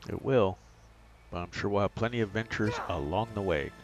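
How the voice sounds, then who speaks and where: A man's voice: lowish voice, brisk, soft background music. A man giving casual commentary as he hosts a video game guide, with game sounds behind him. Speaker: gaming guide host. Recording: voice-over with game noise behind.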